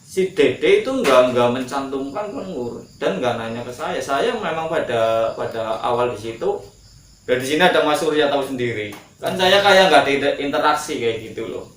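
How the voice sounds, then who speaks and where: A man's voice: mid-range voice, animated, moderate pace, fairly bright tone. A man, a guest filmmaker, explaining his views at length in casual chat with hesitant fillers. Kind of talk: casual chat in Indonesian with Javanese phrases. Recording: echoey room, far from the mic, faint noise.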